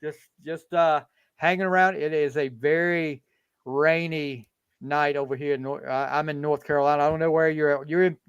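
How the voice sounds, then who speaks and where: A man's voice: a little high, steady tone, normal speed, smooth, dry recording, highs cut off. A fifty-year-old man hosting a casual sports podcast over a video call, talking in a rambling way with lots of restarts. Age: 50s. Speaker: podcast host. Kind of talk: casual chat.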